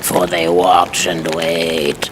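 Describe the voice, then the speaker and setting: Sinister game spirit: extremely deep, very slow, archaic and coaxing, tormented and menacing. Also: male voice, completely flat delivery, noisy background. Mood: brooding. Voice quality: gravelly. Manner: slow, archaic, theatrical speech. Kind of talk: video game cut-scene acting. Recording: loud music behind the voice.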